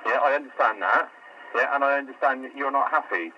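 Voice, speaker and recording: A man's voice: mid-range voice, fairly quick, highs cut off, a little hiss or hum. A man working store customer service, answering a call patiently but growing exasperated, stumbling and repeating himself. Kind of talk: phone call.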